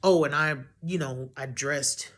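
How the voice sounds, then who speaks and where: Young woman, low voice, unhurried, candid vlog talk that wanders and self-corrects. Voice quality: deep voice for a woman. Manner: rambling, loses her train of thought, self-correcting. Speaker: vlogger. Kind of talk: candid personal talk to camera.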